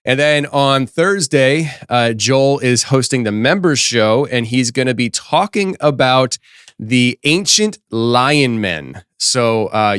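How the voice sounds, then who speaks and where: A male host in his thirties talks off the cuff during a live stream. His voice is clean and resonant yet a little hoarse, recorded close and dry in a small studio. He rambles with plenty of fillers and restarts. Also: medium pitch, a bit bright, no room sound. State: slightly hoarse, losing his voice. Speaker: podcast host. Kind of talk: casual live-stream chat. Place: office studio.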